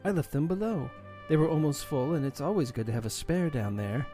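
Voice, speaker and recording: A man's voice: a little high, expressive, fairly quick, warm tone, soft background music, lightly acted. A man voicing a serious, determined young woman, a grieving daughter, in an audio drama. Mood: matter-of-fact. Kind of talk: audio drama character acting.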